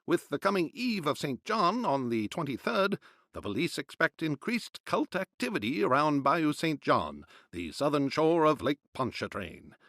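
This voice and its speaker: An actor playing a formal period newsreader, reading newspaper reports in a declamatory narrating style. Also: male voice, a little high, sing-song, normal speed, a bit bright, no room sound, clean studio sound. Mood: formal, measured. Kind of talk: scripted news narration.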